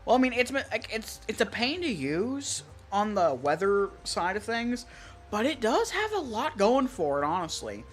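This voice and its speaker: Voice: medium pitch, very animated, a little slow, faint music behind. An American stream co-host chatting casually and in technical detail, rambling through features with firm opinions. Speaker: stream co-host, weather radio collector.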